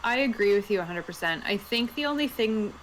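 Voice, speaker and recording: A woman's voice: mid-range voice, lively intonation, brisk, fairly bright tone, slight background noise, poor audio. A woman co-hosting a casual roundtable review in an informal, playful manner. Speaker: host and reviewer.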